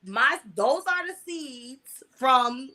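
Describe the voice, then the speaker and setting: A woman's voice: high voice, animated, drawn-out words, sharp tone. A woman in her mid twenties with an American accent, telling personal stories candidly as an interview guest, in colloquial language with repeated words for emphasis. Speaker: interview guest, online video creator.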